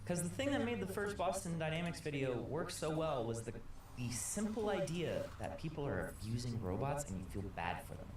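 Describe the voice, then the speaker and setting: A man with a fairly high voice chats on a casual podcast, explaining at length and dropping into little mock quotes. He sits well away from the mic in a very echoey room. Steady noise hums behind him. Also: distant mic. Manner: explanatory, full of "like" and quick put-on quotes. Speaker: video creator and podcast co-host.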